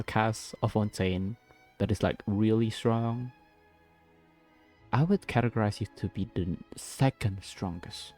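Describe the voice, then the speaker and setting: A man's voice: lowish voice, vocal fry, darkish tone. A man doing casual, gushing playthrough commentary, often repeating himself as he reacts to the story. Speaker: gameplay video creator.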